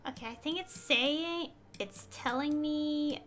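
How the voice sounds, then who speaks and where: A woman's voice: high voice, slow pace, a bit bright. A woman doing casual live game commentary, rambling and hesitant as she thinks aloud. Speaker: game streamer. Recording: faint game music behind. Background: game music.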